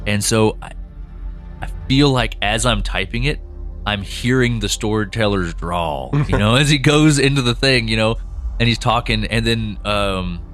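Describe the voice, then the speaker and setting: A man's voice: on the low side, moderate pace, a bit bright, soft background music. A man co-hosting a podcast and chatting casually, rambling with frequent restarts. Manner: rambling, restarts often, laughs easily. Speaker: co-host and writer.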